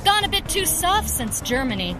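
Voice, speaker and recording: High-voiced, cocky young female vigilante. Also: sing-song, normal speed. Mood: cocky, defiant. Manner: brash, taunting, defiant. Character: cocky, headstrong young female vigilante, eager to prove herself. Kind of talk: audio drama acting.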